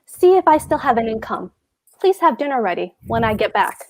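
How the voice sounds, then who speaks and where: A woman's voice: a little high, very animated, brisk, darkish tone. A woman reading the part of a pompous, anxious husband, a fussy government clerk, at a table read of a stage comedy. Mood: brooding, pompous. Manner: pompous, hectoring, fretful.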